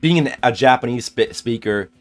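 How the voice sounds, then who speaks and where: A man's voice: medium pitch, moderate pace, faint music behind. A man streaming a game and chatting casually, thinking aloud in a rambling way and answering chat messages.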